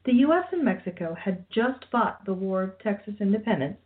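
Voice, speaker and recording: A woman's voice: mid-range voice, animated, a little fast. A woman reading scripted narration for a radio play. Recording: tinny phone-like sound, faint music behind.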